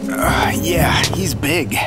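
An actor playing an earnest 1950s FBI agent sidekick in old-time radio drama style. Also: male voice, a little high, expressive, takes it slowly. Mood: breathless, eager. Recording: long reverb, off mic, faint music.

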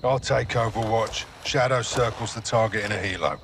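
Actor as gravelly military captain in combat noise. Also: male voice, lowish voice, moderate pace, close to an everyday voice. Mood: terse, focused. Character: battle-hardened military captain, commanding, blunt.

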